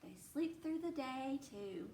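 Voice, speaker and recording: A woman reading a story aloud to young children like a teacher, expressively, pausing to explain and ask questions. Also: high voice. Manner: expressive, slow, explaining as she reads. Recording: roomy, distant mic, faint noise.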